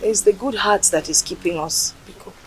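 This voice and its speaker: An actress playing a calm, forgiving wife who soothes and pleads for mercy. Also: female voice, medium pitch, moderate pace, slightly rough, faint music behind, close to an everyday voice, poor audio. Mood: soothing.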